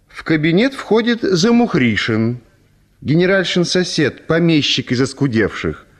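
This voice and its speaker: A man narrating a classic comic story for a radio play in a measured, theatrical storytelling manner. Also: fairly high pitch.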